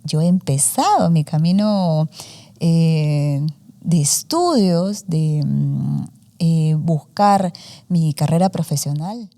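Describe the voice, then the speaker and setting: A woman's voice: sits low, expressive, slow pace, dark tone. A woman in her forties, a student journalist, talking through her story in a casual interview with many fillers and English words mixed in. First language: Spanish. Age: 40s.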